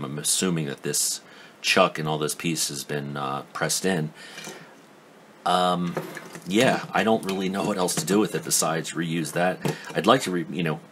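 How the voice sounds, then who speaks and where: Very deep-voiced man reviewing a tool. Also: a bit bright, a little hiss or hum. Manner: rambling, skeptical, lots of ums and restarts. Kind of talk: casual hands-on product review. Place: indoor workbench.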